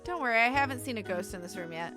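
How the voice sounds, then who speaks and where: A woman game streamer with an expressive, irreverent manner, giving casual let's-play commentary and reading game text aloud. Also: a little high, quick pace. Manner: expressive, irreverent, reacts bluntly. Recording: game music behind voice. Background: game music.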